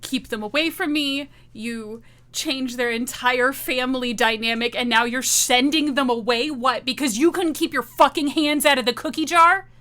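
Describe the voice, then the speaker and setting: Lady playing a betrayed mom, high sharp voice, bitter and desperate, keeps accusing, words come out halting. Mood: bitter anger. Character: betrayed, bitter, desperate mother confronting her estranged husband.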